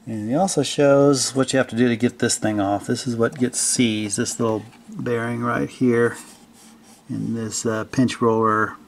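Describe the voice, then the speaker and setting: An older man casually narrating a hands-on repair, thinking aloud in a halting, unscripted way. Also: medium pitch, fairly even intonation. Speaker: hobbyist restorer presenting a repair video. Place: indoor basement-like home workshop.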